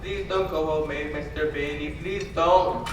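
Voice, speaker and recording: Kindly, loyal barkeeper, acted by a man, slightly high voice, broken grammar, radio play, very echoey with music. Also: steady tone, measured pace, natural voice. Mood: worried. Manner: pleading, broken foreigner's grammar. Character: kindly, worried barkeeper, loyal male friend. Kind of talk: old-time radio play acting. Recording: echoey hall, distant mic, music behind.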